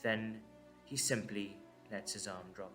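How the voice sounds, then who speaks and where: A man narrating a dramatic story in short, measured, suspenseful phrases. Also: lowish voice, steady tone, over loud music.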